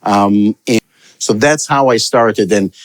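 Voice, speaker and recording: A man's voice: fairly low pitch, takes it slowly. A middle-aged man from Israel, raised in Africa, talking about his career as a guest in an interview, reflective and rambling, with frequent restarts. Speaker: guest, sales expert. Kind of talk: storytelling interview answers.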